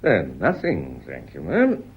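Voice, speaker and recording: An actor playing a mild, deferential but quietly persistent British caller in a radio drama, polite and hesitant. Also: male voice, a bass voice, huge pitch swings, takes it slowly, husky, exaggerated voice. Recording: dull, noisy old radio recording.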